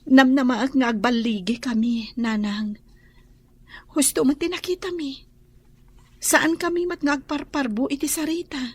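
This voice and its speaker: An actress with a Filipino accent playing a modest, earnest young daughter in a radio drama. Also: female voice, sits high, fairly even intonation, unhurried, long pauses, husky. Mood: earnest, resolute.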